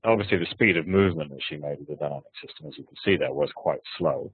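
Very deep hoarse man on a webinar. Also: fast talker, dry recording. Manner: hesitant, many restarts and fillers. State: hoarse from hay fever. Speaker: research presenter. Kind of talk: webinar talk and Q&A answers. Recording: tinny phone-like webinar audio.

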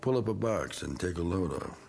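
An actor playing a blunt, gruff middle-aged man who is clearing out his cluttered attic, in a TV drama. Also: low voice, animated, brisk, a little mellow, soft background music. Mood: pushy. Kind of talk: 1980s TV drama acting.

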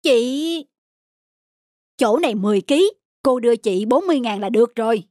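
A woman's voice: a little high, animated, moderate pace, mellow, no echo, studio quality, natural voice. A woman voicing a kind, insistent older scrap collector in a plain-spoken, big-sisterly way. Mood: kindly insistent.

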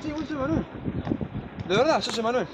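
A man's voice: very high voice, expressive, a little fast, very noisy. A young man with an Argentine accent, co-hosting a street prank and pestering strangers with repeated accusing questions. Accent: Argentine Rioplatense. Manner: insistent, repetitive accusing questions. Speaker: prank co-host. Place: outdoors on a seaside promenade.

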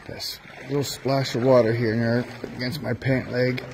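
A man's voice: medium pitch, level tone, a little fast, darkish tone, a little hiss or hum, poor audio. A man casually narrating to his phone camera as he wades through a flooded tunnel, talking plainly to himself. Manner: plain, matter-of-fact, talking to himself while wading. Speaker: vlogger exploring a tunnel. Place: inside a long flooded stone tunnel. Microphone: phone camera held while walking.

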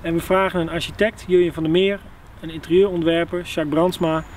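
A man's voice: a little high, little pitch movement, brisk, slight background noise. A man with a Netherlands Dutch accent, working as a host and interviewer. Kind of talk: scripted narration and interview questions.

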